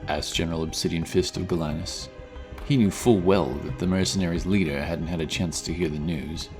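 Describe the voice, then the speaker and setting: Male storyteller, deep voice, dramatic fantasy audiobook read over background score. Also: steady tone, a little fast, a little mellow, soft background music. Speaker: narrator. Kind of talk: dramatic scripted fantasy narration.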